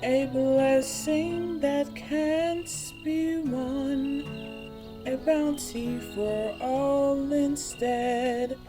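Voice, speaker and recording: High, very slow singing woman. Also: fairly even intonation. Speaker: singer. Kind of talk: slow lullaby-like sung folk carol. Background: music.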